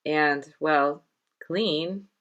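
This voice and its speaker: A woman's voice: low-pitched, very slow, fairly bright tone, lo-fi sound, pitched below the performer's own voice, heavily acted. A woman voicing a slick, gum-chewing teenage male pet-shop clerk with salesman patter in a storybook. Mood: slick, sales-pitching.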